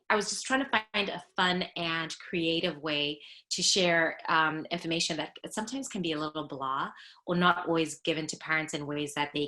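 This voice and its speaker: Bright-voiced woman, lively. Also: fairly low pitch. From Zimbabwe. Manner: enthusiastic, animated, laughs often. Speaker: guest, nurse practitioner and lactation consultant. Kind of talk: casual podcast interview.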